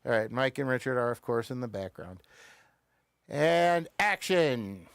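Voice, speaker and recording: Smooth-voiced man hosting, dry close sound. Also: mid-range voice, lively intonation, unhurried, no room sound. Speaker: show host and audio play narrator. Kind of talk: casual live show presenting.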